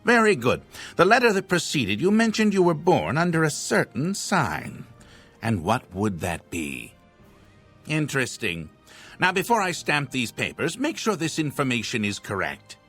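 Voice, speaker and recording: Casting: an elderly, officious clerk for a fantasy game, polite, deliberate, very sing-song. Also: male voice, on the high side, huge pitch swings, fairly bright tone, breathy edge, soft background music, full character voice. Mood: courteous, officious. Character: fussy, courteous elderly census official. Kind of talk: scripted fantasy video game dialogue.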